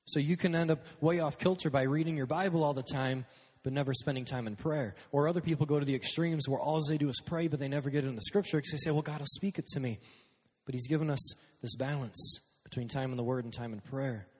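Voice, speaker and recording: A man preaching a casual, energetic sermon to young people on a stage microphone, dropping to quiet prayer at times. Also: medium pitch, fast talker, husky, long reverb tail. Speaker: youth pastor. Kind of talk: youth sermon. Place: church hall with a live sound system.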